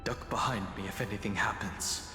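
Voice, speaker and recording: An actor playing a steady, protective young male companion in a video game, serious, terse and reassuring. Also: lowish voice, brisk, lightly acted. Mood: guarded, steady. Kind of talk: video game story voice acting. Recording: echoey hall reverb with music.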